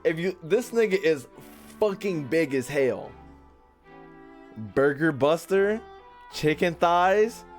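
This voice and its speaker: A man's voice: fairly high pitch, lively intonation, slow, pauses often. An American man doing casual live-stream game commentary in a slangy, profane, teasing way. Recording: faint game music behind.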